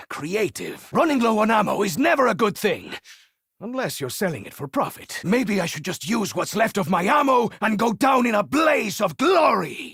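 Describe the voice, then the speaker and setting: A man's voice: high voice, gravelly, fairly bright tone, breathy edge, no room sound, studio quality, heavily acted. An actor with a Spanish accent playing a flamboyant, vain and witty male gunslinger in a video game, with suave, theatrical and playfully boastful delivery. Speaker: game character.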